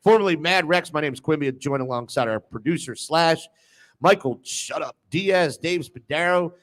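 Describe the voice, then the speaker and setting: A man's voice: fairly high pitch, normal speed, a bit bright. A man hosting a live sports radio show with casual, teasing banter. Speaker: sports talk radio host.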